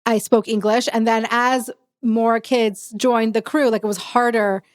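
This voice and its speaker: A woman interviewer with a somewhat high voice who talks in a relaxed, chatty way. She grew up in Texas, learned Yiddish before English, and asks eager follow-up questions full of "like" and "you know.". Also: measured pace. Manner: curious, eager questions, many fillers. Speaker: podcast host. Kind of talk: casual conversational interview.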